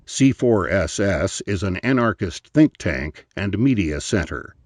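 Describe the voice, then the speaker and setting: A man reading a scripted podcast announcement in a formal, deliberate announcer style. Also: sits low, animated, darkish tone, no echo, studio quality.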